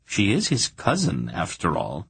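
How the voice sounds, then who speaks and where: A man voicing a calm, motherly noblewoman who speaks gently, in his own low husky voice. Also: measured pace, no room sound, full character voice. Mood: gentle.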